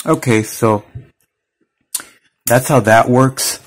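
A man's voice: medium pitch, slow, soft background music. A man giving a casual hands-on product demonstration, explaining things step by step. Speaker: product reviewer. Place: indoors at a wooden table.

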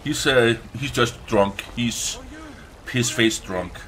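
A man who is not a native English speaker gives casual gameplay commentary, haltingly searching for words and swearing now and then. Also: medium pitch, fairly bright tone, poor audio. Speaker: let's play host.

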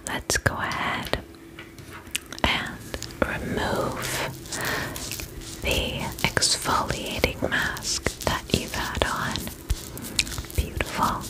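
Woman, breathy whisper, very slow pace, gentle and soothing, ASMR spa attendant role-play. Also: poor audio. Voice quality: unvoiced whisper. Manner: gentle, soothing, reassuring, with short pauses between phrases.

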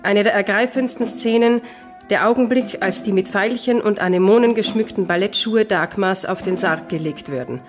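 A woman's voice: mid-range voice, moderate pace, natural voice. Actress as solemn woman reporter over music. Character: solemn female newsreel-style reporter narrating events. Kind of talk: scripted documentary narration.

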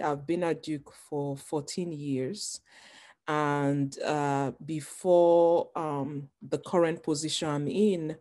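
A woman with a Nigerian accent introducing herself on a webinar panel, speaking in a measured, reflective way with fillers, over a video call. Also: sits low, a little mellow. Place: home or office on a video call.

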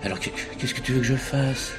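An actor playing a smitten, respectable wealthy bachelor in a French radio play, earnest and hesitant with a slight stammer. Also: male voice, medium pitch, fairly quick, over music, close to an everyday voice. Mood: nervous, hesitant.

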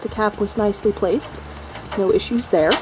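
A young woman chatting casually through a product review, friendly and relaxed with a few ums along the way, filmed on a phone at arm's length indoors. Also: medium pitch, moderate pace, music in the background, rough recording. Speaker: cigar reviewer.

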